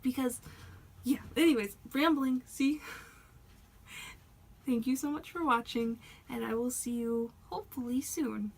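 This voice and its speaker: A woman's voice: high-pitched, slow, pauses often, slightly rough. A woman chatting casually in a vlog, rambling and self-conscious, her voice a bit worn as she is losing it. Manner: rambling, self-conscious, soft-spoken with laughs. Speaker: doll hobby vlogger.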